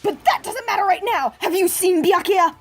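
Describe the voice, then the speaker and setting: A woman voicing a gushing, lovestruck teenage girl with a dark side, in a theatrical fan-dub delivery. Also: very high-pitched, moderate pace, over music. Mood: lovesick. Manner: theatrical, swooping and teasing. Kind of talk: fan dub of an anime game.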